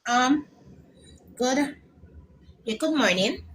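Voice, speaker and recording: A woman's voice: sits high, animated, extremely slow, a bit bright, slight background noise. A woman calling in to a radio show who states her view firmly and checks that she is understood. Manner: firm, emphatic, asks for agreement. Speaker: caller. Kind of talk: call-in radio comment.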